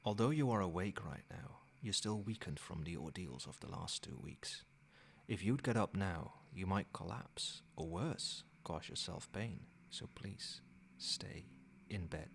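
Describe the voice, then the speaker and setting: A man plays an ancient male vampire sire with a low, soft-spoken voice. He talks in measured, formal phrases, soothing yet possessive, in the intimate style of an ASMR roleplay. There is noticeable noise behind the voice. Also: expressive, creaky, heavily acted. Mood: gentle, instructive.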